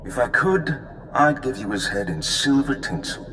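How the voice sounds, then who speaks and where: An actor playing a scheming male criminal ally in a game, smooth and conspiratorial. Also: mid-range voice, very animated, moderate pace, over music, close to an everyday voice. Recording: roomy game audio with loud score.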